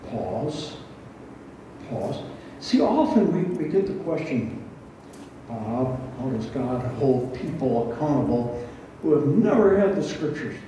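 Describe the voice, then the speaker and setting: A man in his sixties preaching an expository Bible study, reading and explaining scripture in a deliberate, teacherly way with rhetorical questions. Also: medium pitch, sing-song, warm tone. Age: 60s. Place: barn hall with a stage, indoors. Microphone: headset. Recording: roomy, echoing, distant sound with faint noise.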